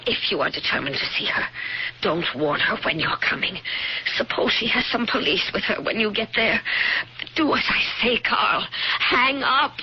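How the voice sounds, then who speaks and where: An actress playing an anxious, urgently pleading wife in a radio drama. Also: female voice, on the high side, sing-song, normal speed. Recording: muffled, hissy old radio broadcast.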